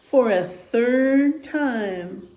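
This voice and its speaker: A woman reading a picture book aloud in an expressive, child-directed way, with asides and questions to young listeners. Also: a little high, extremely slow, roomy sound, slight background noise.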